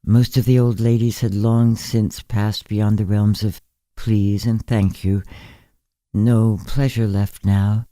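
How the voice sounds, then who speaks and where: A man narrating a literary short story in a measured, gentle, deliberate way. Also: on the low side, level tone, no room sound. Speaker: narrator, fiction reader.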